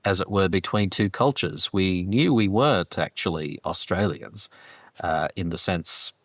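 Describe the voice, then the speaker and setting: A man in his fifties with a Canadian accent speaks in a very low, unhurried voice. He answers questions thoughtfully, breaking into soft laughs now and then. The sound is dry and close. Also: no echo. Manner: measured, thoughtful, laughs softly. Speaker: archbishop guest. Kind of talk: reflective interview answers.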